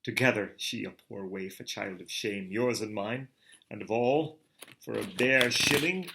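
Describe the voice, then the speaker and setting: Slow, theatrical male reader. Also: creaky, slight background noise, poor audio. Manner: theatrical, swells from hushed to booming. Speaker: reader of a novel. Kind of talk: dramatic literary reading.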